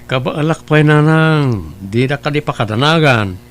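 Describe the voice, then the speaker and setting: A man's voice: fairly high pitch, expressive, measured pace. A man with an Ilocano accent playing a carefree, womanizing young son and brother in an audio drama. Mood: nonchalant, evasive.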